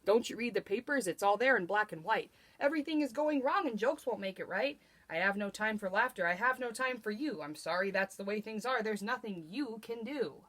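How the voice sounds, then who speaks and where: A woman's voice: medium pitch, animated, fairly quick, few pauses, fairly bright tone, dry recording. Woman as a bitter, cynical storybook businessman. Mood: scornful. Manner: emphatic, scornful. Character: gruff, bitter smoking businessman, dismissive and cynical.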